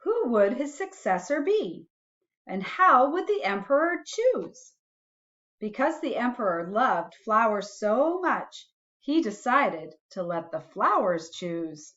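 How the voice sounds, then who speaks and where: A woman reading a children's storybook aloud expressively, adding musing asides to young listeners in a deliberate way. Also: a little high, big pitch swings, a bit bright, lo-fi sound.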